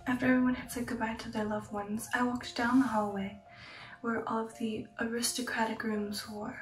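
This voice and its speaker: A woman voicing a poised, sensual aristocratic vampire in a breathy, whispery ASMR storytelling style, intimate and genteel, with slow dramatic pauses. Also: a little high, flat delivery, lightly acted. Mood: hushed, reminiscing. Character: female aristocratic vampire, poised, sensual, recounting her past. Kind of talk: ASMR roleplay storytelling, whispered and soft-spoken. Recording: roomy echo, distant, music bed. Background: music.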